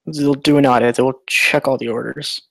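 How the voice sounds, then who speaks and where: A man's voice: mid-range voice, fairly even intonation, moderate pace, vocal fry, lightly acted. A man reading the part of a cautious, worried accomplice in a screenplay table read.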